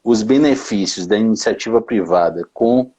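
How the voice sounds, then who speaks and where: A man tells his story in a relaxed interview. His voice sits low and a bit husky. He talks easily and often tags his sentences with a quick question. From Bahia, Brazil. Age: 30s. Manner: relaxed, frequent tag questions. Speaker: interview guest. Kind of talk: casual interview answers.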